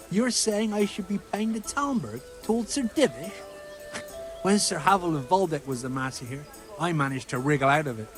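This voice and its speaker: A man's voice: a little high, animated, normal speed, music in the background, lightly acted, rough recording. A man acting a sly, miserly medieval innkeeper in a video game, smug and wheedling. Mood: smug, amused.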